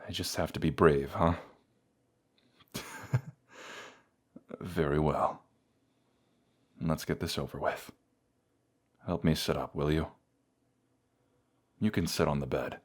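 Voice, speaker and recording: A low-voiced, proud knight, acted out by a man, speaks straight to the listener in a fantasy audio drama. He is bratty and haughty, grumbling through his wounds while hiding grief beneath his pride. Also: fairly even intonation, slow, long pauses, exaggerated voice. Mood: bitter, reluctant. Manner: haughty, grumbling, reluctantly vulnerable. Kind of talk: scripted audio roleplay addressed to the listener.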